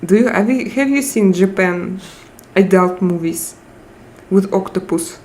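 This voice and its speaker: A woman with a Russian accent hosts a relaxed live chat, reading viewer comments aloud. She speaks slowly and hesitates often as she looks for words in English. Her voice sits at an ordinary middle pitch. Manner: slow, searching for English words with frequent hesitations.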